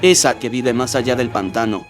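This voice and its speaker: A hired investigator acted by a man in a horror radio play, speaking in a medium-pitched voice. He passes on sinister rumors in an earnest, gossipy way, with light music behind. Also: normal speed, natural voice. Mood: earnest, confiding. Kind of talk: Spanish-language horror radio drama acting.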